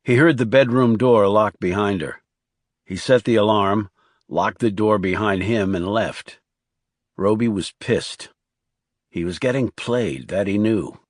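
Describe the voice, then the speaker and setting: A man's voice: fairly low pitch, a little slow, no room sound, studio quality. A man reading scripted thriller narration as an audiobook narrator.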